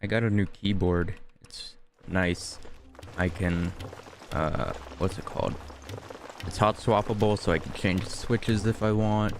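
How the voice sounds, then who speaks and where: Low-voiced man casually streaming. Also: fairly even intonation, unhurried, slight background noise. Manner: rambling, offhand. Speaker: game streamer. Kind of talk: casual gameplay commentary. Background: game music.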